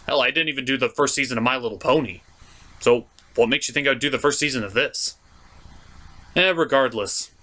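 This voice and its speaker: A man's voice: mid-range voice, a little fast, pushed and bright. A man hosting a cartoon review show, delivering scripted comedic narration in a theatrical, jokey, emphatic way.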